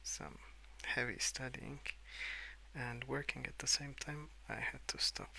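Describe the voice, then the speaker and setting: Soft, slow, half-whispering young man, crisp and creaky. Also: medium pitch, poor audio. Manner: unhurried, sleepy, relaxed rambling. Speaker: ASMR video creator. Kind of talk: soft-spoken ASMR rambling while colouring.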